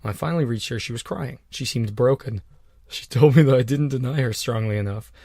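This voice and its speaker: Man, podcast co-host, casual chat, restarts and swearing, mid pitch, dry close sound. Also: fairly quick, dark tone, no room sound. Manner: loose, swearing, rambling with restarts. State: on cold medication.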